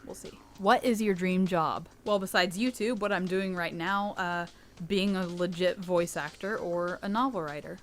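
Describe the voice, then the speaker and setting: Low-voiced young woman chatting candidly. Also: normal speed, faint music behind. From United States. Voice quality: low rich voice, sometimes taken for a boy. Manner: chatty and candid, with laughs and restarts. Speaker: channel host answering fan questions. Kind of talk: casual Q&A monologue.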